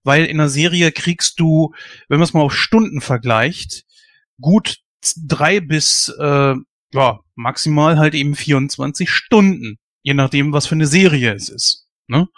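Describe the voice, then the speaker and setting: A man's voice: a little high, measured pace, a bit bright, no room sound. A man in his late thirties hosting a casual discussion, giving strong opinions emphatically and working himself up into a rant. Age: 30s.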